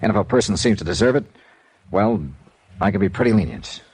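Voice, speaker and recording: Hard-boiled insurance detective, low and husky, keeps pushing, old-time radio. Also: male voice, a little fast, darkish tone. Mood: stern. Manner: blunt, pressing, hard-boiled. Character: tough, blunt male insurance investigator, adult. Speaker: insurance investigator and narrator. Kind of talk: 1950s radio drama acting.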